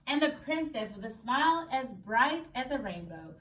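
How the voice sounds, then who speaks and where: A woman teacher reading a picture book aloud expressively, pausing to question and explain in an instructive, emphatic way. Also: high voice, sing-song, a bit bright. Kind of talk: expressive story read-aloud with questions to children. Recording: echoey room, distant mic, faint noise.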